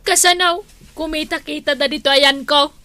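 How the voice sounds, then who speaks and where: A woman's voice: high voice, fairly even intonation, normal speed, pushed and bright. An actress with an Ilocano Filipino accent playing an aggrieved, gossipy village woman in a radio comedy, exclamatory and dramatic. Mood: gossipy, needling.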